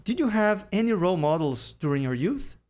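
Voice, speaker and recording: A man interviewing a guest. He has a fairly high voice and asks friendly questions, with short, encouraging replies between them. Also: big pitch swings, fairly quick, a little mellow. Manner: friendly and encouraging, brief acknowledgements.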